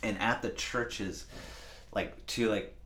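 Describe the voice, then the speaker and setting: A man's voice: fairly low pitch, fairly even intonation, takes it slowly, a bit bright, reverberant, a little hiss or hum. A man chatting loosely on a podcast, swearing casually and using lots of fillers. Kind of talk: casual chat.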